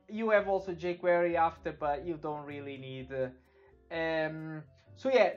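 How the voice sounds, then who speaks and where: A man's voice: sits high, a little mellow. A young man from Portugal with a Portuguese accent, giving a casual screen-share web development tutorial, loose and full of fillers, often checking in with "okay?". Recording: dull lo-fi with faint music behind.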